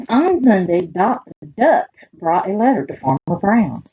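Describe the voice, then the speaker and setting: A woman with a Southern US accent reading picture books aloud to children in an animated, expressive way, adding chatty asides. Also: mid-range voice, a little slow, breathy edge, highs cut off. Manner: animated, expressive, talks directly to young listeners.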